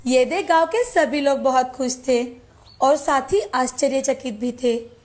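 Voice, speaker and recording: A woman narrating a scripted children's moral story as a storyteller. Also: high-pitched. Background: soft background music.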